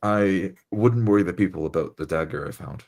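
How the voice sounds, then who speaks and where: A man acts out a dwarf adventurer for a roleplay game. His voice is extremely deep and his phrasing is formal, courteous and devout. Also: a little fast, a little mellow. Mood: calm, grateful.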